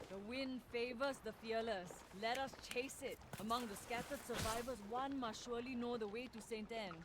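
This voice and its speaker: A man's voice: very high-pitched, fairly even intonation, moderate pace. A man playing a hopeful seafaring pirate in a video game, speaking in flowery, fatalistic phrases. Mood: solemn, encouraging. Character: poetic, hopeful seafaring pirate crew member. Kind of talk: video game character acting. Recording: noisy sea and boat ambience.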